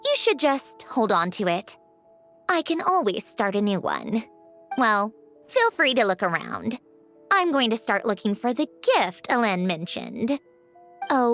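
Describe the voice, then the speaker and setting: A proud, self-assured female automaton from a video game. Her voice sits fairly high and moves with a very lively melody. She speaks briskly with a reflective streak, over faint music. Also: full character voice. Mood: guarded. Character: female created automaton, wistful, bossy, proud, fond of puzzles. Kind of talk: English video game voice acting.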